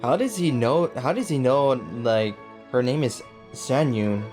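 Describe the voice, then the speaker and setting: A man's voice: mid-range voice, very animated, unhurried, a little mellow, faint music behind. A young man giving casual, slangy, repetitive reaction commentary over a video game as he plays. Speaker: gaming video host.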